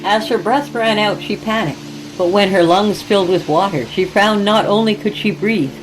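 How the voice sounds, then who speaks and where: A woman's voice: medium pitch, moderate pace, noisy background. A woman hosting a horror fiction podcast, reading scripted introductions and story narration.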